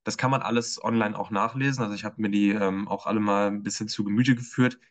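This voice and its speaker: A man's voice: on the low side, fairly even intonation, fast. A man in an editorial team giving a casual spoken review of a performance, hesitant and full of filler sounds.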